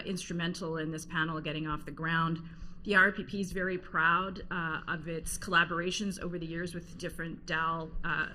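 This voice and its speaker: A woman's voice: on the low side, sharp tone. A woman heading a policy institute, giving earnest opening remarks at a university panel with frequent restarts. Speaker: institute president and CEO. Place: university lecture room. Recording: distant, very echoey hall with noise.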